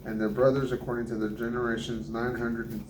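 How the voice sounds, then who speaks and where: A middle-aged man reading scripture aloud to his family at a kitchen table, halting and restarting on hard names. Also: medium pitch, level tone. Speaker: family Bible reader. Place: kitchen table at home, indoors. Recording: echoey kitchen with background noise.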